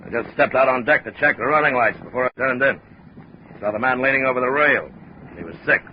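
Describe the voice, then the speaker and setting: A muffled old radio recording with faint noise carries a domineering ship's officer, played by a man. His middle-pitched voice is curt, commanding and dismissive, in vintage radio drama style. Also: brisk, natural voice. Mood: matter-of-fact. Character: gruff, domineering, threatening male ship's officer. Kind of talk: 1950s radio drama acting.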